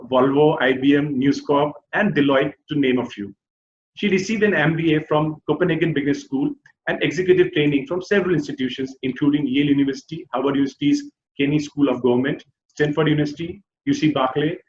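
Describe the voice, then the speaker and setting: A man's voice: a little high, a little hiss or hum. A man hosting a web interview, earnestly reading a long formal introduction of his guest, with small restarts. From India. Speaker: host. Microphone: video call. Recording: roomy, dull web call audio.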